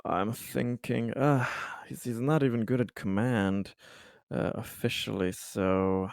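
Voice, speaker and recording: A man's voice: mid-range voice, takes it slowly, creaky, darkish tone, no echo, studio quality. A man talking casually as a player in a tabletop roleplaying game.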